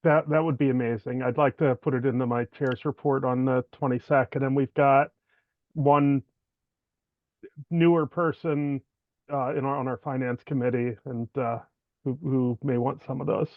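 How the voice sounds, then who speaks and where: A man speaking as a board chair, commenting casually and haltingly in an online meeting, often repeating phrases. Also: mid-range voice, steady tone, no room sound. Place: video call. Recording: thin phone-like call audio.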